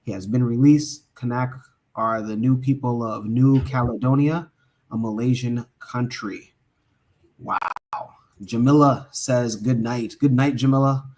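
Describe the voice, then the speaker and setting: A man's voice: medium pitch, steady tone, a little slow, a little mellow. Enthusiastic man signing off. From Omaha, Nebraska. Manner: enthusiastic, hesitant with many fillers. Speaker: podcast co-host. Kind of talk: closing remarks and shout-outs.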